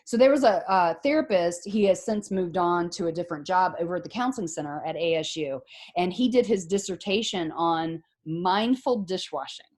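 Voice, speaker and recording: A woman's voice: lowish voice, animated, normal speed, a little mellow. A middle-aged woman teaching a psychology class over a video call, talking in a conversational way and checking that students follow. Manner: conversational, explains with examples and rhetorical questions. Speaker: psychology professor. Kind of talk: online lecture.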